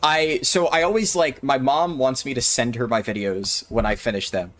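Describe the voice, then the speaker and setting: A man of average pitch talks casually over his gameplay on a livestream. He thinks aloud, wanders between points and answers chat questions as they come in. His voice carries a slightly tired edge. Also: moderate pace, a bit bright. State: maybe tired or sore throat. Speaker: streamer. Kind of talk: casual livestream commentary while gaming.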